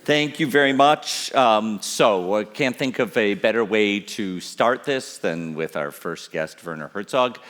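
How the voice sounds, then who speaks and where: A man introducing a festival guest in an unscripted, halting way, with frequent ums and uhs. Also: on the low side, fairly bright tone, a little hiss or hum. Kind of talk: unscripted spoken introduction on stage. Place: large studio auditorium, on stage. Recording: echoey hall with long reverb.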